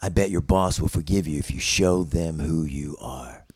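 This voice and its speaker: Man playing a deep-voiced, brooding noir drifter. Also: moderate pace, slightly rough, no echo. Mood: brooding, earnest. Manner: deadpan, brooding storyteller. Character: mysterious world-weary male noir drifter, earnest, troubled past. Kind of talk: noir web series table read.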